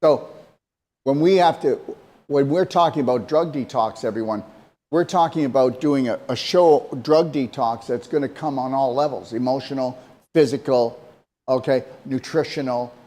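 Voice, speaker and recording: A man's voice: mid-range voice, normal speed, darkish tone. Emphatic rambling male co-host. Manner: emphatic, rambling, repeats himself. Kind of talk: casual podcast talk.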